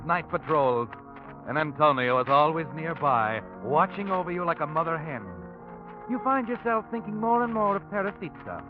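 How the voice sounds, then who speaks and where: An actor playing an ominous, knowing narrator in a 1940s radio mystery, telling the story in suspenseful style. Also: male voice, a little high, natural voice. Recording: tinny old radio broadcast. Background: prominent music.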